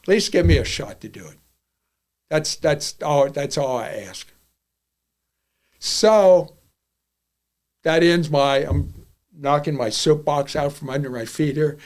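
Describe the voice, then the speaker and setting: A man's voice: fairly high pitch, slow pace, pauses often, darkish tone. A man in his seventies hosting a podcast, talking candidly and bluntly, often repeating himself as he goes. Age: 70s. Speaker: podcast host. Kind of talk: casual podcast monologue.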